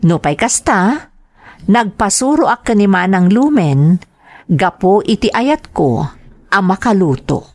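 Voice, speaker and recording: A woman's voice: mid-range voice, expressive, a little slow, long pauses, a little mellow, dry recording. An actress playing a dutiful, apologetic young wife hurt by her in-laws, in a radio-style drama. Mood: troubled, reflective. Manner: apologetic, deferential.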